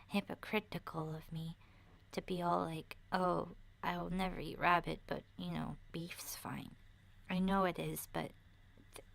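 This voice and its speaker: A woman voicing a sensitive young girl survivor in a soft, breathy, often whispered ASMR roleplay, speaking hesitantly and earnestly. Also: on the low side. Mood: upset, hushed. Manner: hesitant, halting, childlike phrasing.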